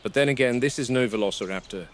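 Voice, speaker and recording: Guy doing a gruff game warden, measured n dry, wary, found footage horror log. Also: mid-range voice, lightly acted. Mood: wary, grim.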